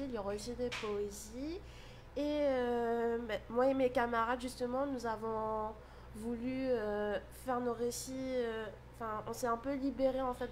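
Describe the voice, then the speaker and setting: A woman's voice: a little high, a little hiss or hum. A young woman, a student guest in a radio interview, talking hesitantly and searching for her words. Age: young adult.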